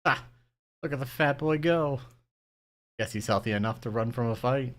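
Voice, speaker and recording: Man doing a fierce old granny, gruff, blunt, loud, storybook voice. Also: mid-range voice, moderate pace, voice pushed up, close to an everyday voice. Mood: mocking. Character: tough, vulgar, fierce old grandmother.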